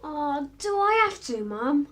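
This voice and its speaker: A woman's voice: sits high, sing-song, slow pace, a bit bright, exaggerated voice. A woman playing an eager, curious young boy in a radio drama, chatty and a little whiny. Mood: reluctant.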